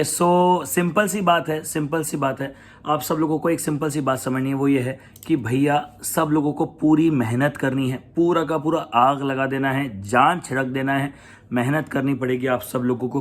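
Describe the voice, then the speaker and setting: A young adult Indian man with an Indian accent, a coaching teacher giving students a casual, emphatic pep talk, mixing English into Hindi and repeating his key points. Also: fairly high pitch. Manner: mixes English into Hindi, addresses students as beta and bhaiya.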